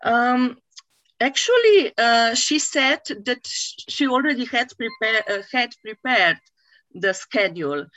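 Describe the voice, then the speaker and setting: A woman coachee, whose first language is not English, speaking hesitantly with many pauses and uhs. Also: a little high, lively intonation, a bit bright, low-quality recording. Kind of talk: mentoring session.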